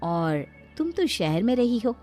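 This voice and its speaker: A woman's voice: a little high, sing-song, unhurried, mellow, soft background music. A woman voicing a sly village elder sister-in-law in a cartoon story, sarcastic and mock-sweet as she teases. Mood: taunting. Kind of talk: Hindi cartoon story character acting.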